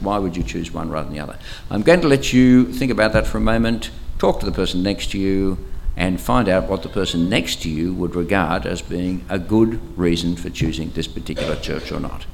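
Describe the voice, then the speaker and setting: Older Australian clergyman with a low, powerful voice and a relaxed, teacherly delivery full of small hesitations, with a faint hum behind him. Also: creaky. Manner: chatty, teacherly, frequent hesitations. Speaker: archbishop leading a Bible study. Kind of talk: interactive Bible study talk. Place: church camp meeting room. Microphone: microphone, sometimes moves away from it.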